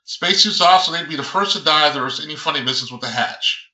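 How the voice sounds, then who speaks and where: Man reading as a calm, wry military officer. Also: fairly high pitch. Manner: measured, terse. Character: male military officer, wry and calmly commanding. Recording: roomy, echoing sound.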